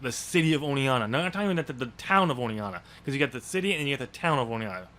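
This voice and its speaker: An American man in a casual podcast chat, talking haltingly and often restarting his sentences. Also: mid-range voice, expressive, bright, forceful tone. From New York State, US. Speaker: podcast host and author discussing his novel.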